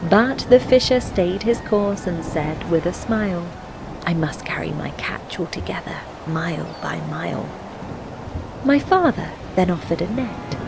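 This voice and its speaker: A woman with a fairly low voice narrates a fantasy game tale in rhyming verse. Her delivery is measured and poetic, like recited storytelling. Music plays clearly behind her. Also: poor audio. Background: background music.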